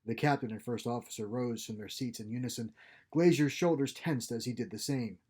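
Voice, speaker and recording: A man's voice: medium pitch, fairly quick, darkish tone, breathy edge. A man reading his own science fiction short story as scripted narration.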